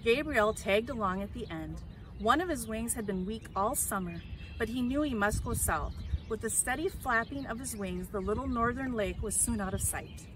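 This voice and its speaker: A woman reading a children's storybook aloud in an expressive, emphatic way. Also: on the high side, normal speed. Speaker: story reader. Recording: clear background noise.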